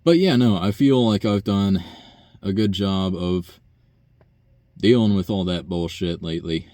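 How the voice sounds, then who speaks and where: A young man talking through a rambling, casual personal monologue, profane and full of fillers and sighs, with a low buzzy voice. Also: measured pace, dark tone, dry recording. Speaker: vlogger.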